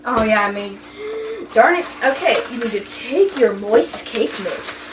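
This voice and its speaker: A young woman with a high, very lively voice plays host in a kitchen cooking video, goofy and teasing as she chats through the steps. The recording is noisy and she sounds off the mic. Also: a little slow, distant mic. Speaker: vlogger hosting a cooking video. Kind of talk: playful, chatty cooking demonstration. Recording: echoey, noisy room, off mic.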